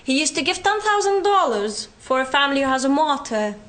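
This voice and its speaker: A young woman with a Palestinian British accent, giving an earnest talk on her own experience, often pausing with fillers. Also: high voice, big pitch swings, fairly bright tone. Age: young adult. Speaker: guest speaker. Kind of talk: university talk from personal experience.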